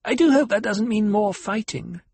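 A man's voice: high voice, normal speed, gravelly, darkish tone, husky, no echo, pitched above the performer's own voice, full character voice. A man doing a sweetly coaxing young woman.

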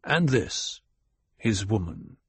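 A man voicing a stern, measured leader of a desert tribe, commanding and deliberate, in an audiobook. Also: fairly low pitch, very animated, creaky, slightly rough, no room sound, exaggerated voice. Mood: solemn.

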